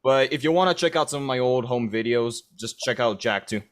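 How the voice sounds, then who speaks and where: A man's voice: mid-range voice, fairly quick. A man who does voice acting, chatting casually in a rambling way. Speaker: amateur voice actor. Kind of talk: casual chat.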